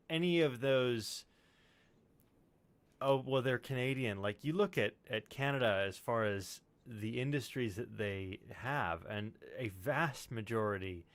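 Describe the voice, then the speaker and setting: A man chatting casually, tossing in jokes and quick remarks. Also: medium pitch, dry recording. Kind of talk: casual chat.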